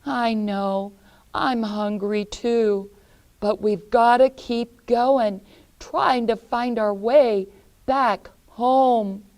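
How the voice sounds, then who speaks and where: A woman's voice: on the high side, fairly even intonation, very slow, darkish tone. A woman voicing a young boy comforting his little sister in a storybook tale. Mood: reassuring. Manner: reassuring and comforting. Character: brave protective big brother Hansel.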